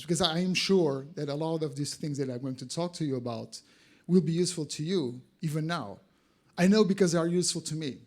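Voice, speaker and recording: A man's voice: fairly high pitch, a little mellow. A man with a Portuguese accent giving an informal live presentation, talking directly to his audience in a conversational way. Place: in front of an audience at an expo.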